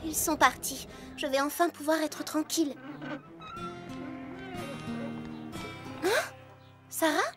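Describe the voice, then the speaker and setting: A woman's voice: high-pitched, fairly even intonation, normal speed, over music, natural voice. A woman playing a stubborn, sarcastic young girl in a French cartoon dub, blunt and pouty. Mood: sulky.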